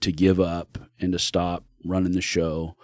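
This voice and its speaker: A man's voice: sits low, monotone, a little slow, darkish tone, no echo. A middle-aged man, a podcast guest, telling his life story in an earnest, reflective way. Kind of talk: candid personal storytelling.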